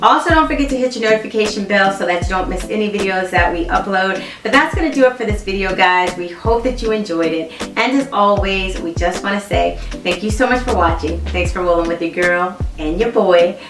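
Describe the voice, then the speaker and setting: A woman's voice: medium pitch, brisk, hardly any pauses, distant mic. A woman in her fifties from Virginia, chatting with viewers in a casual couples Q&A and laughing often. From Virginia, USA. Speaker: vlogger. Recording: echoey room, off-mic, music behind.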